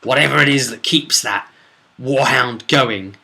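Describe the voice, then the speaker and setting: A man with a mid-pitched, sharp and bright voice recounts a tabletop role-playing adventure. He speaks as the game master, in an informal storytelling style. He leans on dramatic emphasis to carry the action. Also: measured pace, vocal fry. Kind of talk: casual recap narration of a tabletop role-playing session.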